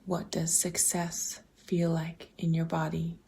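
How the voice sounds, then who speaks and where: Slow, low, creaky woman guiding meditation. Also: completely flat delivery. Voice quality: soft, breathy, often slipping toward a whisper. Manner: gentle, deliberate, posing open questions with pauses. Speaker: guided visualization host. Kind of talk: slow guided meditation, reflective questions.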